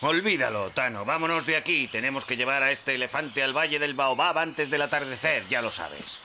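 A man voicing a gruff, bossy poacher villain who barks impatient orders in a cartoon dub. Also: mid-range voice, animated, brisk, slight background noise. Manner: barking orders. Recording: loud music behind the voice.

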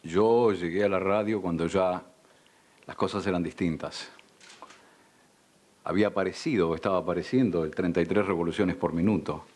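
An older Argentine man, a veteran radio announcer, reminiscing in a measured, polished way in a studio interview. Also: low voice, fairly even intonation, darkish tone. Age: older, around sixties. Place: dark television studio.

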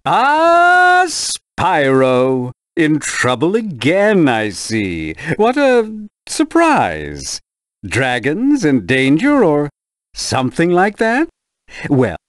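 A man's voice: fairly high pitch, dry recording, clean studio sound. A man voicing a greedy, sly merchant creature in a video game, drawling with smug theatricality. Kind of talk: video game character dialogue.

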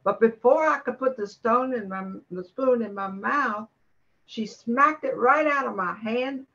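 A woman's voice: on the high side, measured pace, a bit bright. Muffled, gossipy neighbour played by a woman. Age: older. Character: gossipy, nosy female neighbour. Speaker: amateur radio drama performer. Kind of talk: old-time radio play acting.

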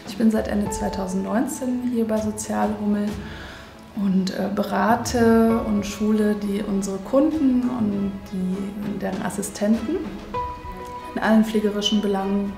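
A woman's voice: mid-range voice, steady tone, measured pace, creaky. A woman in her thirties introducing herself as a care advisor on camera. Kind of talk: interview self-introduction for a promotional film. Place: room indoors. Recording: roomy sound with music behind.

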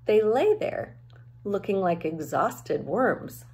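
A middle-aged woman reading a children's novel aloud as an expressive storyteller, recorded at home on a handheld-distance device. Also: medium pitch, slow pace. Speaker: teacher reading a book aloud. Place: small home room with bookshelves.

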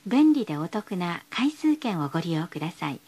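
A woman's voice: fairly low pitch, sing-song, moderate pace, warm tone, slightly rough. A woman reading scripted bus stop announcements in a polite, formal, carefully enunciated way.

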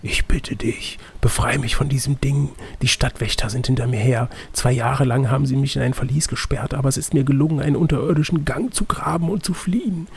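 Man acting a breathy, exhausted escaped prisoner. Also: medium pitch, fairly quick, few pauses. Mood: desperate pleading. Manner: pleading, labored speech. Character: exhausted, desperate escaped male prisoner begging for help.

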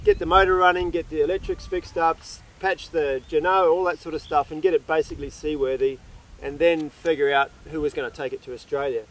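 A young man with a somewhat high voice talks casually, hosting an interview outdoors on a beach. His manner is easygoing and chatty. He often prompts with short questions. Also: fairly even intonation, a little fast, hardly any pauses, a bit bright, poor audio. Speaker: sailing vlog host.

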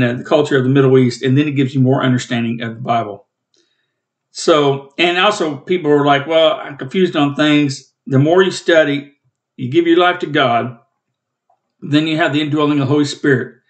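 A man in his late fifties with a rough, coughing throat, giving a rambling, plainspoken Bible study from home over a webcam. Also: on the high side, normal speed. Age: 50s. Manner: rambling, plainspoken, self-corrects. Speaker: Bible teacher and channel host. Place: small room at home, indoors.

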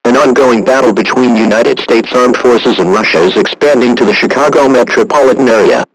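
Husky synthetic male alert announcer. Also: medium pitch, fairly quick, low-quality recording. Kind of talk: formal scripted warning message.

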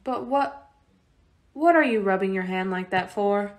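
A woman's voice: mid-range voice, measured pace, close to an everyday voice. A woman playing a stern, brusque, no-nonsense mother in a radio play. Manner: brusque, demanding. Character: stern, no-nonsense refugee mother, brisk and practical.

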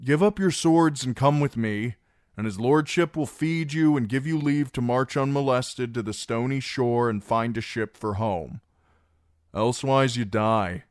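A man's voice: on the low side, unhurried, few pauses, dry recording, studio quality, pitched above the performer's own voice, lightly acted. A man voicing a broken, terrified young male captive who carries a message and pleads urgently with a hostile crowd.

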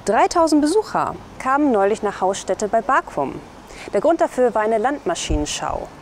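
Young woman reading local news outside, really lively melody, slight background noise. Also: on the high side, normal speed. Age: young adult. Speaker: news show presenter. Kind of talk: scripted news presenting. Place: outdoors by a building and trees. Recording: faint outdoor city noise. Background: faint outdoor ambience.

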